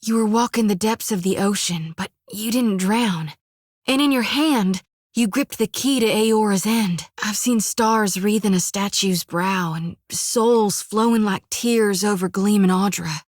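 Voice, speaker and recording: An actress voicing a folksy, earnest young priestess of a death god in a video game, with a drawl, rambling and plainspoken. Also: female voice, medium pitch, measured pace, dry recording, clean studio sound, close to an everyday voice.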